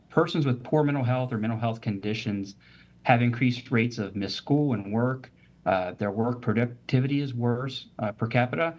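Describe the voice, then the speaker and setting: A man of average pitch explains mental health topics as a medical guest on a talk show. He speaks steadily and methodically, often pausing on "uh" and "um", and the recording sounds a little dull. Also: darkish tone. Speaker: physician guest.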